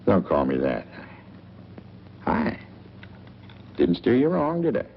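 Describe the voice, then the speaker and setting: Wry, folksy town newsman, low and animated, muffled old film track. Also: slow pace, gravelly, full character voice. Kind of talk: 1940s film acting. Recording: dull, noisy old film soundtrack.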